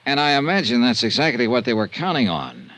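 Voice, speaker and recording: A man's voice: mid-range voice, wildly swooping pitch, fairly quick, fairly bright tone, no echo. An actor playing a cynical male insurance investigator with a wry, clipped delivery in a vintage radio drama. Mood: wry, skeptical. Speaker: lead actor and narrator. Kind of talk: 1950s radio detective acting.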